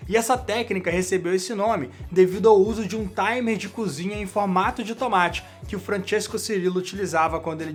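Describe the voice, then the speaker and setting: A man with a Brazilian Portuguese accent, hosting a career channel and explaining to camera, speaking straight to the viewer. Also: high-pitched. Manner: direct, addresses the viewer as "you".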